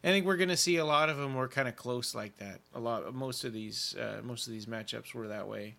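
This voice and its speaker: Bright-voiced enthusiastic man. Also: mid-range voice, brisk, vocal fry. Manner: enthusiastic, hesitant with fillers. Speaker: podcast co-host. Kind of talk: casual podcast chat.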